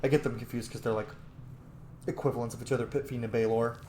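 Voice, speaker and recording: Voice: mid-range voice, steady tone, fast, mellow. A gaming video host giving casual, opinionated let's-play commentary with geeky, rambling tangents. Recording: roomy echo, faint background noise.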